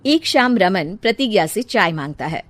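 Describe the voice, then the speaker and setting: A woman's voice: mid-range voice, lively intonation, normal speed, fairly bright tone, dry recording. A woman reading scripted story narration.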